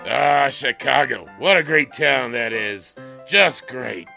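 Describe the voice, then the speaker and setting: A man's voice: mid-range voice, expressive, slow pace, creaky, bright, forceful tone, soft background music, heavily acted. An actor playing an expansive, streetwise man in a 1920s audio drama, drawing out his words emphatically. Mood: wistful, advising.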